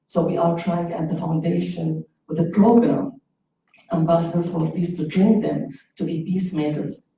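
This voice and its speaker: A woman's voice: lowish voice, fairly even intonation, normal speed, creaky, mellow. A woman from Syria, speaking earnestly from the floor of a panel event into a handheld mic in a conference room. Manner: earnest, impassioned. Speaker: audience member, foundation director. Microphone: handheld microphone. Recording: echoey hall, distant mic.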